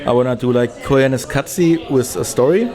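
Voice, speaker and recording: A man speaking casually as an interviewed film director, halting and often searching for words. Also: mid-range voice, a crowd in the background.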